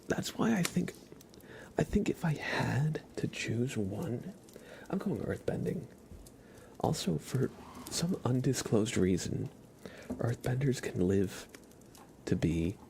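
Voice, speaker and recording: Male ASMR streamer, casual and hushed, dips into whispers, lots of vocal fry, slight background noise. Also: on the low side. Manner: soft-spoken, often dropping into a whisper. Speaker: livestream host. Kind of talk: ASMR, casual rambling chat with live viewers.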